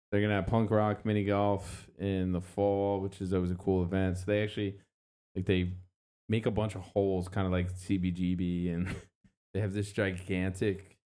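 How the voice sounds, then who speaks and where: A man's voice: low-pitched, mellow, clear, resonant tone. A man hosting a local radio show, chatting casually and plugging upcoming events in an easygoing way. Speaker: radio host.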